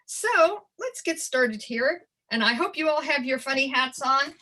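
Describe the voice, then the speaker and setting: A woman's voice: high-pitched, expressive, a little slow, sharp tone. An older woman, sixties or above, an educator giving a webinar presentation, addressing her listeners directly and stressing key phrases. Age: 60s or older. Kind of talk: webinar presentation to childcare providers.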